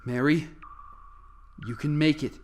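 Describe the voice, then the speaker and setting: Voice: medium pitch, fairly even intonation, measured pace, a little mellow, lightly acted. A performer playing an urgent scientist in a radio play, intense and stammering under pressure while guiding a colleague through danger. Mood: urgent coaching.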